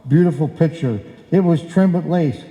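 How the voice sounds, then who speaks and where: A man's voice: on the high side, moderate pace, warm tone, natural voice. Man acting an exasperated husband, echoey hall. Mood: defensive, exasperated. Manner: argumentative, exasperated, wisecracking. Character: grumbling, exasperated, henpecked husband in a bickering comedy. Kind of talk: old-time radio comedy acting before a live audience. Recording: echoey live hall, roomy sound.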